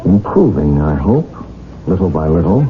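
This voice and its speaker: An actor playing an anxious, earnest husband who narrates a mystery in a 1970s radio drama, with formal, dramatic diction. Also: male voice, low voice, big pitch swings, normal speed, slight background noise. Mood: probing, formal. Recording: dull old radio broadcast, music behind.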